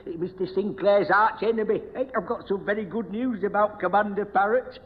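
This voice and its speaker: An actor playing a muddled, forgetful old busybody, stammering and chuckling at himself in a radio sitcom. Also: male voice, sits high, a little fast, close to an everyday voice. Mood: bumbling, pleased. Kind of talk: broadcast radio sitcom acting before an audience. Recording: dull, noisy old radio recording, echoey hall.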